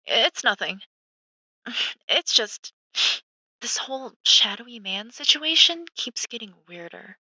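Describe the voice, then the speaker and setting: A woman's voice: a little high, very animated, slow pace, pushed and bright, no room sound, heavily acted, low-quality recording. An actress playing a supportive, chatty young woman, a protective best friend, in a naturalistic audio drama. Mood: uneasy, concerned.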